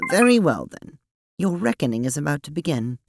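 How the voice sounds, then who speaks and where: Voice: medium pitch, very animated, brisk, gravelly, soft background music. A performer voicing a formal, long-winded young female alien advisor in an audio drama, speaking precisely and wordily. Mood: earnest, formal. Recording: noticeable background noise with clicks.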